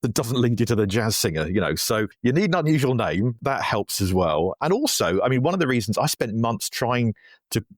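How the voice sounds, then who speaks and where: A man being interviewed as a writer and podcaster, telling stories in a casual, animated way. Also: medium pitch, a little fast, no echo, studio quality.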